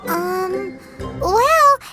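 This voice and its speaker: An actress voicing a tiny, helpful girl companion in an anime game, squeaky, childlike, chirpy and frank. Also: lively intonation, very slow, loud music behind the voice, full character voice. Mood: sympathetic, helpful.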